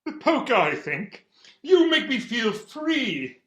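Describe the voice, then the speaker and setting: A man's voice: very high-pitched, very animated, slow, a bit bright, heavily acted. A man playing a stifled, lovestruck English attorney in a comic stage reading, fussy, earnest and self-deprecating. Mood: bashful, eager. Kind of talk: comic stage readers theater acting.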